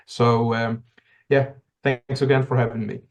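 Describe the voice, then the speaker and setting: A man's voice: medium pitch, level tone, measured pace, creaky, dull audio. Reflective man from Tunisia. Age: middle-aged. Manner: reflective, many restarts and repeated words. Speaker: podcast guest. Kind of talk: casual interview talk.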